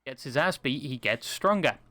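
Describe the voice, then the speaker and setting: A man's voice: mid-range voice, expressive, normal speed, sharp tone, no echo, studio quality. A man narrating a scripted video review with wry, crude humour. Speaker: video essayist.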